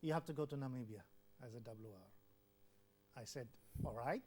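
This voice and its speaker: A man's voice: medium pitch, animated, normal speed, darkish tone. An older man from Bangladesh, a former health official, earnestly telling his life story in an interview and acting out remembered dialogue. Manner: earnest, repeats words, acts out quoted dialogue. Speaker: former WHO country representative.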